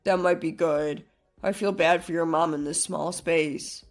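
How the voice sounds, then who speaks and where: A woman's voice: deep voice, fairly even intonation, unhurried, soft background music, voice pushed down. A woman voicing a kind, supportive young husband in a narrated family drama. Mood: agreeable.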